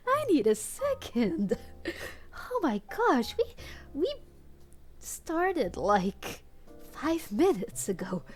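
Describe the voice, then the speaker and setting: A woman streamer reads fan fiction in a slightly high voice at an unhurried pace. She is giggly and casual, dropping amused comments between lines, with music playing behind her. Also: big pitch swings. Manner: giggly, easily cracked up, acts out dialogue. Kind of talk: casual comedic read-aloud with amused commentary.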